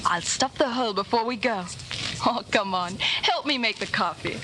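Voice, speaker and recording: A woman's voice: fairly high pitch, moderate pace, close to an everyday voice. Actress as spirited young rebel woman, noisy vintage radio. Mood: soothing, chatty. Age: young adult. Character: bold, practical young rebel woman, tender lover. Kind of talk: 1940s radio drama acting. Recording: noisy old 1940s radio recording.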